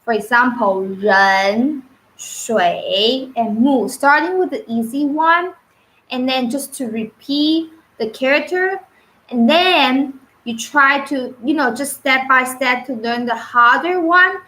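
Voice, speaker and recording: A woman whose first language is Mandarin Chinese, teaching on a live stream, unscripted and halting with fillers and restarts. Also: sits high, bright, forceful tone, poor audio.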